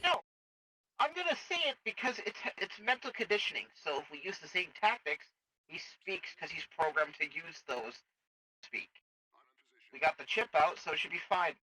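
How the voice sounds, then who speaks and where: A man playing a blunt, matter-of-fact military medic in improvised sci-fi game roleplay, explaining things haltingly. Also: fairly high pitch, lively intonation, sharp tone, dry recording, full character voice, low-quality recording.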